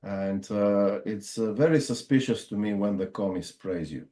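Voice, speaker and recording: A man from Bulgaria with a Bulgarian accent, interviewing a guest in a measured, deliberate way. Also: lowish voice, warm tone. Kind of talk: interview discussion.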